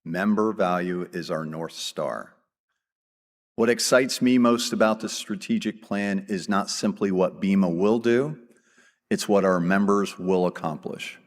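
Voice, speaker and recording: A man giving a formal, measured scripted speech from a convention stage before he introduces the keynote speaker. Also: deep voice. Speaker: association executive introducing the keynote. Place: large convention hall. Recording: long hall reverb.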